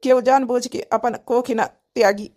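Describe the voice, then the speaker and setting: A woman's voice: fairly high pitch, steady tone, moderate pace, darkish tone, close to an everyday voice. A woman voicing an earnest, emotional village man in a cartoon family drama, insistent and repetitive, with an Awadhi accent. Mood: admiring.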